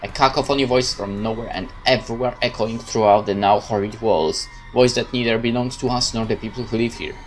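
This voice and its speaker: A man's voice: medium pitch, steady tone, soft background music. A man giving a theatrical first-person reading of a horror visual novel. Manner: measured, theatrical narration. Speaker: game let's-play narrator.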